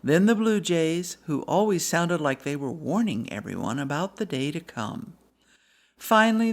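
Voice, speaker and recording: A woman reading a scripted children's story as a storybook narrator, in an expressive manner. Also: deep voice.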